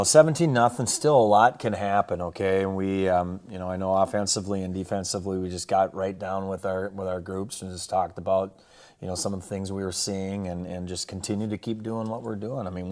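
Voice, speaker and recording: A man with an American accent, a football coach talking casually through a game recap in a plainspoken, halting way. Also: deep voice, steady tone, a little mellow. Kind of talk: casual sports recap and highlight commentary.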